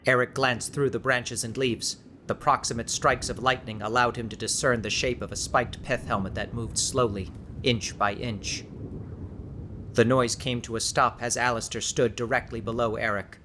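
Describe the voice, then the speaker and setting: A man's voice: mid-range voice, steady tone, moderate pace, background noise. A man reading an audiobook as dramatic scripted narration.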